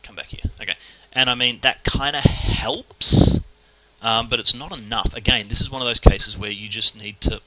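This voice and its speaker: A man with an Australian accent talking fast and casually through a screen-recorded modelling tutorial, muttering at the software as he works. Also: medium pitch, steady tone, slight background noise, low-quality recording. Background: keyboard typing and mouse clicks.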